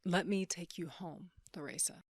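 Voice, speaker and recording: A woman's voice: lowish voice, fairly even intonation, normal speed, slightly rough. Woman playing a blunt, tough starship pilot. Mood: protective. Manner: blunt, urgent, clipped. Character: tough, protective female starship pilot, loyal sister. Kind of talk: sci-fi audio drama acting.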